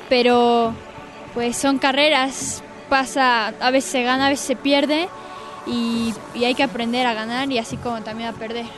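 A young female kart racer, answering interview questions outdoors in an earnest, plain-spoken way. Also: high-pitched. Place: outdoors by a fountain. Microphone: interviewer's handheld mic. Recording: music bed under voices.

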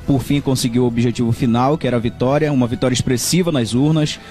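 A man's voice: medium pitch, fairly even intonation, quick pace, music in the background. A man reporting and putting interview questions as a TV news reporter.

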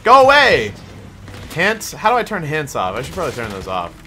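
A man's voice: a little high, very animated, quick pace, sharp tone, slight background noise. A man giving casual, profane, self-deprecating commentary while playing a shooter game. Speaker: gaming streamer playing a shooter. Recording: game music and gunfire behind voice. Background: shooter game music and gunfire.

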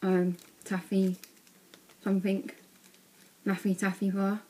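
A teenage girl, chatting casually in a vlog from her bedroom, a bit croaky from being ill, with lots of ums. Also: medium pitch, monotone, slow pace, mellow, low-quality recording. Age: teens. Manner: chatty, rambling with many ums. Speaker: vlogger. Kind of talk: casual vlog showing bag contents. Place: small bedroom indoors.

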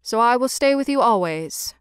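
A woman's voice: on the high side, animated, normal speed, clean tone, no echo, clean studio sound, natural voice. A woman voicing a small, kind-hearted male swallow, earnest and tender, in a storybook tale. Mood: devoted.

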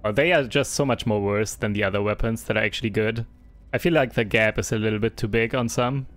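A man's voice: lowish voice, fairly even intonation, fast, a bit bright. German-accented man streaming a game. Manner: chatty, reads and answers chat, hesitant fillers. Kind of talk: casual live-stream commentary.

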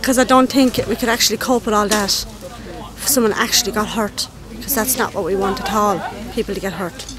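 A woman's voice: medium pitch, brisk. A woman in her thirties speaking emphatically in an outdoor interview, repeating phrases as she goes. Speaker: resident interviewee. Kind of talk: spontaneous interview talk. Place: outdoors at night. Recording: outdoor crowd noise behind.

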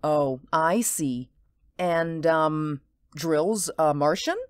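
A woman playing an amused, indulgent mother who gently chides and humors her child in a radio drama. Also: low voice, very slow, clear, resonant tone, dry recording, studio quality. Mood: gently chiding, humoring.

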